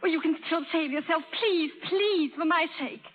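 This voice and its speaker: A woman's voice: extremely high pitch, normal speed, faint music behind. An actress playing an earnest, genteel young lady in love in a 1930s radio drama. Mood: anxious, pleading. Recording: tinny 1930s radio broadcast.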